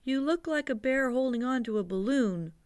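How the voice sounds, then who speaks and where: A cheerful, kind young boy, as a storybook reader puts him on, speaking up high and bright, full of eager questions. The voice sounds close and dry, without room echo. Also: lively intonation, normal speed, clear, resonant tone.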